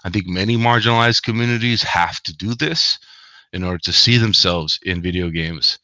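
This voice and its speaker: A man with a fairly low voice and a bright, forceful edge, talking earnestly as an interview guest, often tagging his points with a quick "right?". His first language is Spanish, and the sound is very dry and close, with no room echo. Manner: earnest, animated, frequent "right?" tags. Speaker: guest author. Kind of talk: podcast interview.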